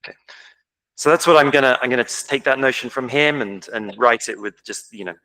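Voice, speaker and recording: Man, English accent, conference lecture, informal, self-deprecating, bright tone. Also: medium pitch, fairly quick, poor audio. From England. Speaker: conference speaker. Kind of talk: academic lecture. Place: lecture hall.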